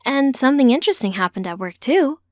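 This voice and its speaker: A woman's voice: sits high, very animated, quick pace, a little mellow, no echo, clean studio sound, pitched above the performer's own voice. Woman playing an earnest, slightly sheepish young woman. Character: earnest young transgender woman, a teacher, confiding in mother.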